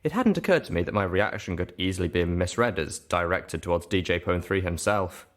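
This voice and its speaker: Male audiobook narrator, lower-pitched, delivering scripted first-person fiction. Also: animated, a little fast.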